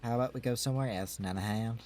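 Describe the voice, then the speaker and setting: A man's voice: medium pitch, fast, a little mellow, soft background music, natural voice. A man voicing an upbeat, affectionate young woman in a dating game, with a playful, coaxing manner. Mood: putting on cheer.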